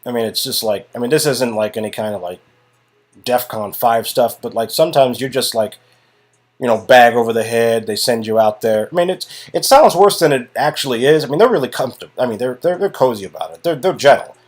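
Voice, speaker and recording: A man playing a sarcastic, grumbling ex-agent who talks in a dry, halting, improvised way. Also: medium pitch, expressive, close to an everyday voice. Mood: rambling, wry.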